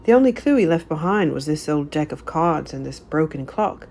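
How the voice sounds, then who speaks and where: Male storybook train owner read by a woman, earnest, formal, explanatory, animated intonation. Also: deep voice, moderate pace.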